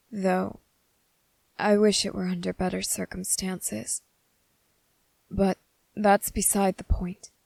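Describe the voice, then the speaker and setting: A woman voicing a possessive, lovesick male vampire in an intimate ASMR roleplay, speaking tenderly and haltingly, with coaxing deliberation. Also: mid-range voice, a little mellow, no echo. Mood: devoted, quietly intense. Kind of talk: ASMR character roleplay, intimate scripted acting.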